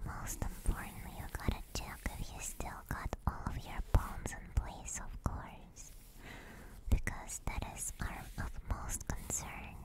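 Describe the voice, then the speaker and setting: A woman whispering gently and breathily in an ASMR livestream, close to a binaural microphone. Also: unhurried, rough recording. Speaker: streamer, ASMR host. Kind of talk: whispered ASMR roleplay and chat.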